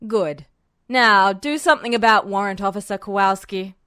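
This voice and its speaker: A woman voicing a stern, exhausted older male general in an audio story, measured and commanding yet gentle. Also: medium pitch, smooth, dry recording, clean studio sound, voice pushed down, natural voice. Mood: brisk command. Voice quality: weary, gentle.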